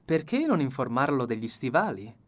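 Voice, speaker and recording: A man's voice: medium pitch, big pitch swings, moderate pace, fairly bright tone. A man voicing a nosy, insistent middle-aged sister in an audiobook, with a pointed, prodding manner. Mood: coaxing. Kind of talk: audiobook character dialogue.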